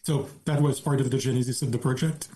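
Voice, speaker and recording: A man's voice: mid-range voice, steady tone, fast, warm tone. A man, a guest author, gives a long, detailed story-driven answer in a podcast interview.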